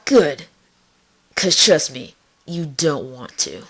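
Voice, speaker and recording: A woman's voice: low voice, big pitch swings, slow, husky, exaggerated voice. A woman voicing a brash, wry, tough young pony in a story reading, sardonic and nonchalant. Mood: blunt, wry. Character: brash, foul-mouthed young party-loving mare, wry and tough.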